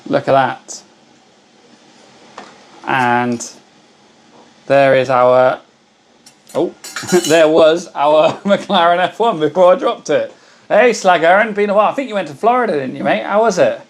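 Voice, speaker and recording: Host: hoarse man, slightly high voice, chatty and friendly with viewers, casual live-stream energy. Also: unhurried, pauses often. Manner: chatty, greets viewers by name. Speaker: livestream host.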